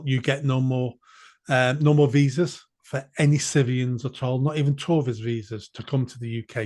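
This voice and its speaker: A man talking on a political podcast at a middling pitch. He speaks bluntly and with emphasis, hammering points home by repeating short phrases. His delivery is plain and insistent. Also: a little mellow. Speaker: podcast guest.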